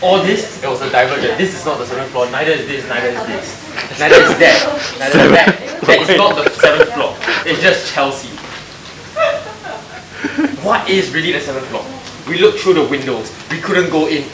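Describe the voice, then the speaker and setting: A man's voice: fairly high pitch, normal speed, far from the mic. A young man with a Singaporean accent chatting casually and jokingly to a camera indoors. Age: young adult. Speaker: student cameraman in a behind-the-scenes vlog. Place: indoor office studio. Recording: echoey room with background chatter.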